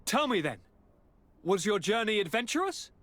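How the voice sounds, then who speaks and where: A man playing an affectionate young governor in a video game, with a theatrical, lively manner. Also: high-pitched, normal speed, no echo. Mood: affectionate, playful. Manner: theatrical, lively, swinging to whispers. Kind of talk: video game cutscene acting.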